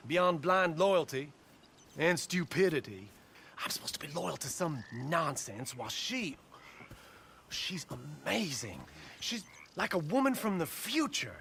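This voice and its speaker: A man's voice: on the high side, a bit bright, slight background noise, full character voice. An actor playing a nervous, earnest, lovestruck young man, rambling through his confessions.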